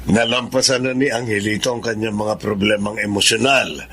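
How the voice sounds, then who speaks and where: A man's voice: fairly low pitch, fairly even intonation, normal speed, a bit bright, husky, faint music behind. An actor with a Filipino accent playing a humble older father in a radio drama. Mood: reflective, remorseful. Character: older father, humbly admitting his mistake.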